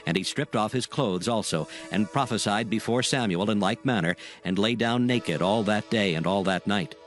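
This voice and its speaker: A man's voice: fairly low pitch, moderate pace, faint music behind. A man narrating a dramatized scripture reading in solemn, formal archaic phrasing.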